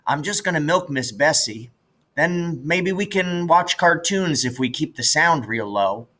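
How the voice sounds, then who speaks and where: Man playing a bright-voiced, folksy country boy. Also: on the high side, lively intonation, unhurried, voice pushed up, exaggerated voice. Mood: teasing. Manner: folksy, colloquial, exasperated. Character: grumbling, teasing country boy, anxious but fond.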